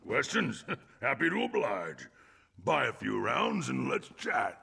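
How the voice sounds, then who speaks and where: Roguish orc, heavy creak, slow and obliging, in a noisy reverberant space. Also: male voice, mid-range voice, wildly swooping pitch, heavily acted. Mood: cheerful, obliging. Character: genial, roguish male orc scoundrel in a tavern. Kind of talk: fantasy video game character acting.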